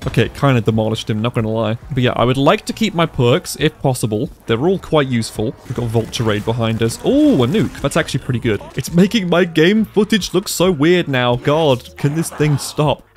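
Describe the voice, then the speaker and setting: A young man talks through his gaming session in a loose, chatty way, and his pitch jumps around as he reacts to what happens. He has a cold, so his voice sounds slightly stuffy and flat at times. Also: brisk, hardly any pauses. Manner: excitable, reacts aloud to the game. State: congested from a cold. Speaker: gaming YouTuber. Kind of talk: casual gameplay commentary.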